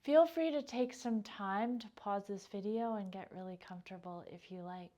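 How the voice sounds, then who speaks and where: Woman, medium pitch, guided meditation, gentle instructional delivery, unhurried, soft trailing phrase endings. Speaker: yoga nidra meditation teacher.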